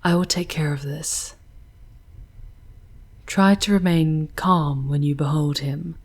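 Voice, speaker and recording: Casting: actress as tender demoness guide for ASMR roleplay; low, breathy, half-whispered, formal and archaic, unhurried delivery. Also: female voice, fairly even intonation, heavily acted. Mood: gentle, wary. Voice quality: soft, breathy, often whispered. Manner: slow, archaic formal diction, intimate and soothing.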